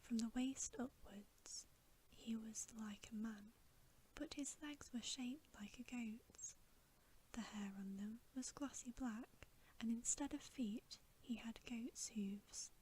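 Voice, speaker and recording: A woman whispers a bedtime story very softly and breathily, close to the microphone. She reads slowly and gently in a soothing ASMR storytelling style. A faint noise sits behind her voice. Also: on the high side, rough recording. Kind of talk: ASMR whispered audiobook reading.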